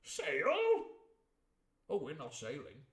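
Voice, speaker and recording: A man voicing an excitable, blustering old male squire in a shrill, booming voice. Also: slow pace, heavily acted. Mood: excited. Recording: roomy echo.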